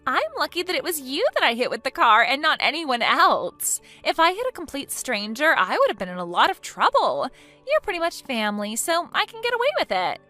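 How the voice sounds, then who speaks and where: A woman putting on a shrill, self-absorbed young woman whose voice leaps high and wide in indignation. The tone is bright and piercing, with faint music underneath. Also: big pitch swings, brisk, heavily acted. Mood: indignant. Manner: whiny, indignant, high-pitched outbursts.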